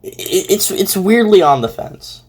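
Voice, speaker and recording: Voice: mid-range voice, sing-song, normal speed, low-quality recording. A casual reaction commentator with a mid-range speaking voice. The delivery rambles and hesitates, working thoughts out aloud in slangy, everyday wording.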